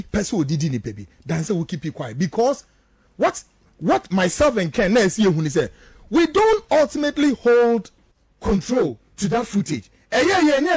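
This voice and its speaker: A Ghanaian man with a high voice and very lively intonation gives impassioned political commentary in a dry, close studio sound. His native Twi colours his speech, and he hammers points home with repeated rhetorical questions. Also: dry recording.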